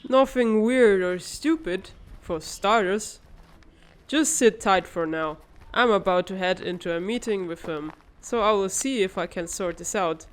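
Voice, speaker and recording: A woman voicing a wry, level-headed older sister in a comic dub, calmly teasing and gently scolding. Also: medium pitch, measured pace, natural voice. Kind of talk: animated comic-dub voice acting.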